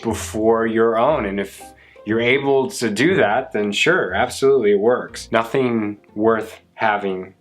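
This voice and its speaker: A man's voice: on the low side, measured pace, soft background music. A man talking casually and earnestly as a guest on his partner's video channel. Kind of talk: casual chat.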